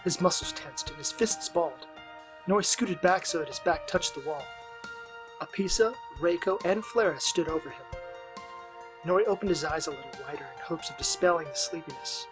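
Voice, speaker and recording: A woman's voice: fairly low pitch, moderate pace. A woman reading scripted narration for an audio drama. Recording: music behind the voice.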